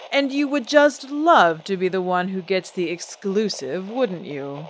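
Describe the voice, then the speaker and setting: An actress playing a proud, guarded singer and stage star, theatrical and poised in an old-time radio drama style. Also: female voice, medium pitch, lively intonation, a little slow, a little hiss or hum, lightly acted, rough recording. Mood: guarded, cool. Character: proud, guarded female singer-actress, a glamorous celebrity diva. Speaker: celebrity interview guest.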